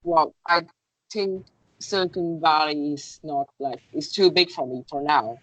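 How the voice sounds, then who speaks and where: Low-voiced hesitant Vietnamese-speaking woman. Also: a little slow, dry recording, poor audio. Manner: hesitant, searching for words, many fillers. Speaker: contestant. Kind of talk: English-speaking contest interview.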